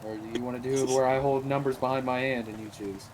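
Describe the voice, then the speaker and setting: A man's voice: medium pitch, steady tone, fast talker, a crowd in the background. A man chatting in an online roleplay game, blunt and bossy, with casual swearing. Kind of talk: casual gaming voice chat, half in character. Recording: noisy game voice chat audio.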